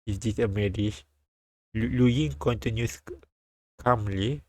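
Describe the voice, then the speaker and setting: A man streaming a live read-aloud of a visual novel, halting and repeating lines as he goes. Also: fairly low pitch, no echo.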